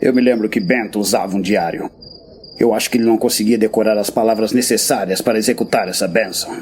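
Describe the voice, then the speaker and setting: An actor playing a grim, world-weary veteran warrior master in a fantasy audio drama, speaking bluntly and solemnly. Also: male voice, lowish voice, fairly even intonation, fast talker, a little mellow, a little hiss or hum, natural voice. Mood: grave, confiding. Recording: background music.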